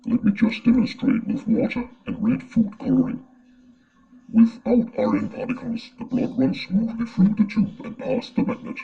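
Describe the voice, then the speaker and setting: A man presenting a scripted science explainer, his voice run through a pitch-shifting voice changer. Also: big pitch swings, moderate pace, creaky, crowd noise. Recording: muffled, lo-fi voice-changed audio.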